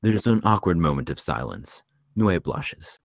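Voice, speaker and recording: A man reading screenplay stage directions as narrator, in a measured, descriptive way. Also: low voice, big pitch swings, gravelly, no echo, studio quality.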